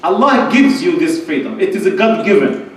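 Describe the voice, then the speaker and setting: Man, middle-aged, high voice, emphatic oratory, impassioned speech, very echoey hall, distant mic. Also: sing-song, normal speed. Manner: oratorical, emphatic, short repeated phrases. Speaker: conference speaker. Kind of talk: impassioned religious-political speech. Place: large indoor lecture hall at a podium.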